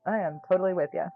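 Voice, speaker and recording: Chatty woman in her forties, tinny sound. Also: lowish voice. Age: 40s. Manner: chatty, laughs often, lots of "like". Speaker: tarot reader hosting a live sale stream. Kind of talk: casual livestream chat.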